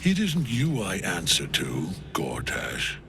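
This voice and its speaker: An actor playing a menacing undead general in a video game, grandiose and theatrical in manner, as a grand villain. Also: male voice, deep voice, huge pitch swings, takes it slowly, creaky, background noise, heavily acted. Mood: scornful menace.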